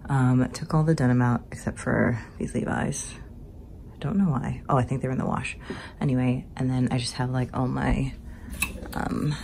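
Low-voiced woman casually vlogging. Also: creaky. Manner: relaxed, chatty, laughs often. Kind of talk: casual vlog chat. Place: home closet, then car and house. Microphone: handheld phone camera. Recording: noisy handheld phone audio.

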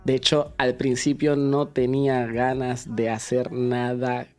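A man's voice: mid-range voice, completely flat delivery, a little slow, a little mellow. A young Venezuelan man speaks in a friendly, upbeat way as a studio guest, with a mid-range voice. He talks into a handheld mic while faint music plays behind. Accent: Venezuelan Spanish. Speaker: guest dancer. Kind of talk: casual interview. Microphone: handheld microphone.

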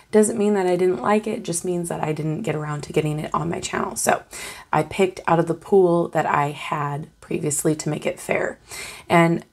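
A young adult woman hosting her own channel, chatting casually and upbeat while her voice is still strained from recovering. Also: deep voice. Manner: chatty and upbeat, bubbly enthusiasm. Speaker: tarot channel host. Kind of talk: casual vlog talk to camera.

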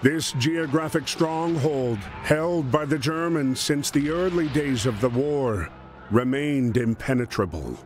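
A man narrating a wartime history game in a solemn, measured, dramatic storytelling style. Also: medium pitch, slight background noise, natural voice. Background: music.

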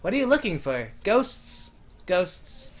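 A man playing a horror game and commenting on it, loud, excitable and sarcastic, swearing freely and reading the game's lines aloud. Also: high voice, takes it slowly. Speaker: game streamer. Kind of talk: let's play commentary.

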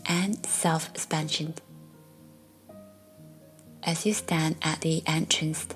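A woman guiding a meditation in a soft, soothing, breathy voice that often nears a whisper, leaving long pauses. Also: low-pitched. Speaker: meditation guide. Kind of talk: guided meditation narration. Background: faint music.

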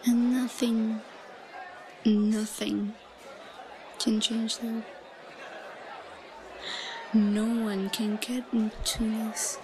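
Yandere schoolgirl , female performer: timid yet obsessive, high whispery voice for roleplay audio, noisy background. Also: flat delivery, a little mellow, a faint crowd. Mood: possessive devotion. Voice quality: breathy, whispery. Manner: giggly, halting. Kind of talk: intimate roleplay audio, breathy murmuring to herself. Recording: noisy, crowded ambient background.

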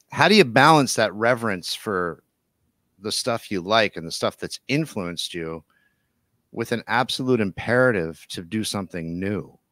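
A man's voice: lowish voice, lively intonation, unhurried, a bit bright, no room sound. A middle-aged man hosting a podcast, chatting casually with guests over a video call into a close microphone. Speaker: podcast host. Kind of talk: casual interview chat. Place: home room, video call.

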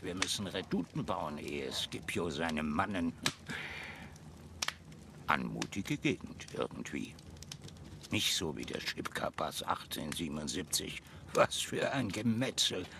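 An actor playing an eccentric, war-obsessed old major in a German radio play, muttering pompously in clipped military phrases. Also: male voice, low voice, exaggerated voice. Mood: brooding, muttering. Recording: clearly noisy ambience behind the voice.

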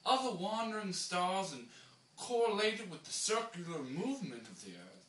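A young man with a bright, swooping voice reads a formal old text theatrically. His phrasing halts and restarts for effect. The sound is roomy and distant, from a camera across a small room. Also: high-pitched, big pitch swings, moderate pace. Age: young adult. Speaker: reader taking turns in an informal group reading. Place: small bare room indoors. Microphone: camera mic from a few metres.

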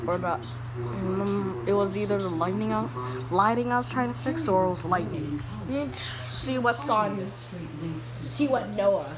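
American woman doing a casual vlog on her phone, slow hesitant talk, really loud background noise. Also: mid-range voice, lively intonation, crowd noise, low-quality recording. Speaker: vlogger. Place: home, between porch and indoors. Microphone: handheld phone.

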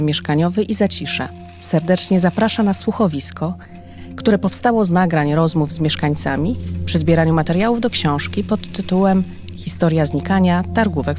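An announcer giving a formal, scripted introduction in an even reading voice. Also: medium pitch. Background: music.

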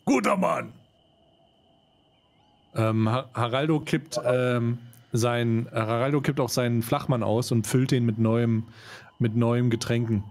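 A man's voice: mid-range voice, takes it slowly, vocal fry, a little mellow. A man playing an online tabletop game, talking in casual, slangy, joking banter. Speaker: player.

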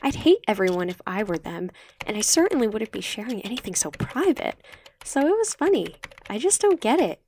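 A brash high school girl as a woman narrator puts her on, frank and casual. She speaks bluntly in an audiobook over faint noise. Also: sing-song, brisk, a little mellow. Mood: puzzled, frank. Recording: slightly noisy home recording.

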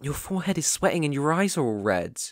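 A man's voice: fairly high pitch, big pitch swings, fast talker, dry recording, clean studio sound. A man playing a caring, worried boyfriend in an ASMR roleplay, talking in a soothing, tender, reassuring way. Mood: concerned. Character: caring, worried boyfriend fussing tenderly over sick partner.

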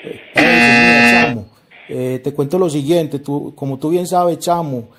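A man's voice: medium pitch, fairly even intonation, unhurried, loud music behind the voice. A man with a Venezuelan accent making a prank phone call, pleading hesitantly while playing it straight. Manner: pleading, hesitant, repeats "chamo". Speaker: prank caller, channel host. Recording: very noisy phone prank recording.

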